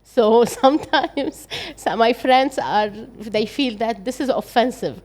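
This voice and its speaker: A woman's voice: a little high, a little mellow. An older woman with an Arabic accent, a native Arabic speaker, talking as an interview guest in an earnest way, often stopping and restarting her sentences. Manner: earnest, halting with frequent restarts. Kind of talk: reflective interview conversation.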